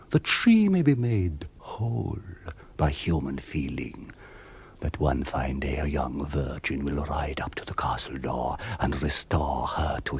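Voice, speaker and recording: An actor playing an ancient vampire count in a radio play, melancholy, sinister and grandly theatrical, savouring each macabre, poetic word. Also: male voice, extremely low pitch, a little mellow, husky, full character voice. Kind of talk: 1970s radio play narration.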